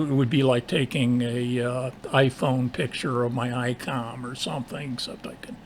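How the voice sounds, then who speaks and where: A man chatting casually as an amateur radio operator from his home station, in halting, hesitant phrases. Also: medium pitch, a little hiss or hum.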